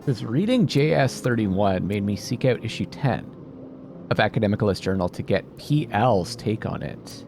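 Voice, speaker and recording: A man's voice: fairly low pitch, big pitch swings, normal speed, vocal fry, background noise. A man giving casual let's-play commentary, muttering and thinking aloud as he goes and reading bits out. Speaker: gaming video host. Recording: music behind the voice. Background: game music.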